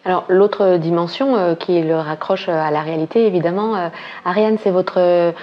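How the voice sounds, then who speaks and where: Hesitant woman interviewer, lower voice. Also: normal speed, lo-fi sound. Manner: long questions full of "euh" hesitations. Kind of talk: formal interview questions.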